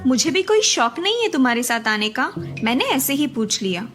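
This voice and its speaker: Casting: woman to play animated young college girl, bickering younger sister, high-pitched, light music behind. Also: moderate pace. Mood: touchy. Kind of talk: dramatised Hindi cartoon story dialogue.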